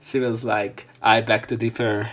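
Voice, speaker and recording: A man's voice: on the low side, flat delivery, a little slow, a bit bright, faint music behind. A man reading visual novel narration aloud as a let's play commentator, adding casual, wry, sweary asides.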